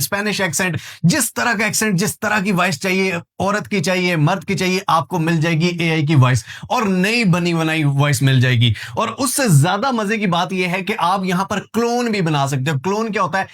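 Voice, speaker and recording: Male tutorial host, Pakistani accent, high-pitched voice, informal and emphatic, lots of rhetorical questions. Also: a bit bright. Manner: emphatic, repetitive, full of rhetorical questions and check-ins. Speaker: online skills tutor and video host. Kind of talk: informal tutorial narration, walking viewers through websites.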